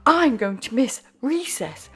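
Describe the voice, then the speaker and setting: A woman acts out an eager young schoolchild from a picture book. The voice jumps up high and swings widely, exclaiming with emphatic energy over soft music. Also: big pitch swings, slow, breathy edge, exaggerated voice. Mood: excited.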